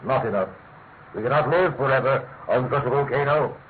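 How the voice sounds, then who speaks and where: In a narrow, noisy recording, an actor plays an English Prime Minister. The voice is mid-pitched, stately and emphatic, with formal, insistent delivery in classic radio drama style. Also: male voice, brisk, natural voice. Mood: grave, insistent. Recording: thin phone-like radio sound, clear noise.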